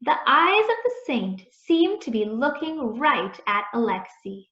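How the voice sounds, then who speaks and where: A woman reading a children's story aloud in a deliberate, expressive storytelling manner. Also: a little high. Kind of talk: read-aloud storytelling, scripted narration. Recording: echoey room, dull muffled sound.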